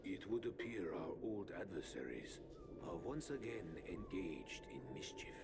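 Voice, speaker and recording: An actor voicing a calm, formal old male rat sensei who speaks with measured gravity. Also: on the low side. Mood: grave. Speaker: martial arts master and father. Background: game music.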